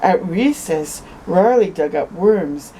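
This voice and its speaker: A woman reading a picture book aloud to children as a storytime host, expressive, with conversational asides. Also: on the low side, slow, slight background noise, poor audio. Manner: conversational asides, tags questions with "eh".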